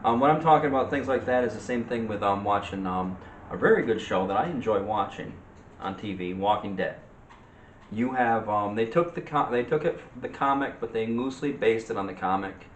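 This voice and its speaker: A man with a fairly low voice debates as a co-host in casual chat. His phrasing is halting and hesitant as he makes his case, and the room around him is echoey. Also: muffled recording. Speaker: co-host of a movie discussion show. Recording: echoey room with faint background noise.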